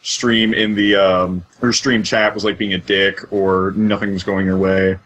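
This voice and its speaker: A man's voice: deep voice, flat delivery, a little slow, a bit bright, rough recording. A man hosting a podcast in an informal, casual way, over a voice call with a little noise. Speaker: podcast host asking questions.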